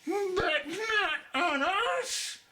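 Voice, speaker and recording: A man's voice: lively intonation, extremely slow, bright, forceful tone, heavily acted. A man voicing small, timid young storybook oysters with plaintive, anxious pleading. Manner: plaintive, high-pitched pleading.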